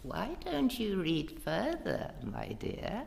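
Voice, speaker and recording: A woman's voice: medium pitch, huge pitch swings, slow, vocal fry, full character voice. An actress playing a sinister, teasing, knowing woman in a live radio play, drawling with relish. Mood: sinister amusement. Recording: reverberant stage hall.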